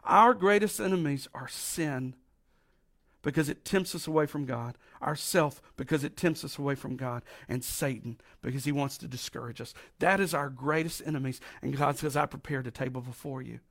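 A middle-aged man with a raspy voice from allergies, preaching a folksy, emphatic sermon with slow drawn-out stresses. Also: mid-range voice, fairly bright tone. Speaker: pastor.

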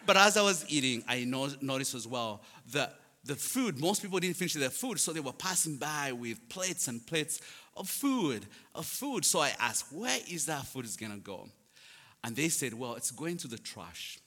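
A man's voice: medium pitch, sing-song, moderate pace, bright, forceful tone. A man from Uganda with a Ugandan accent, speaking English as a later language, gives a personal testimony to a congregation with storytelling and self-deprecating humour. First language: not English; English is his fourth language. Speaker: guest speaker for a charity. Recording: long hall reverb.

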